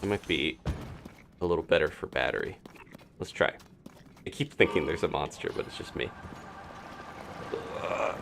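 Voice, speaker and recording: A man's voice: very deep voice, normal speed, vocal fry, pauses often, background noise. A man doing casual live video game commentary, thinking aloud in a playful, rambling, muttering way.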